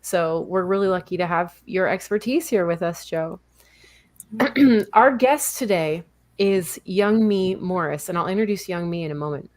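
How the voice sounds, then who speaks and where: A woman's voice: lowish voice, unhurried, a little mellow. Earnest woman hosting a live talk show. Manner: earnest, explanatory, with some fillers and self-corrections. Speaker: host.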